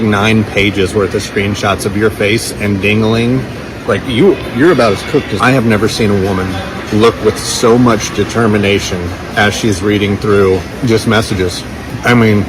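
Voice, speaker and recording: A man telling a story in a casual, comedic rant, informal and emphatic. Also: fairly low pitch. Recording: clear background noise.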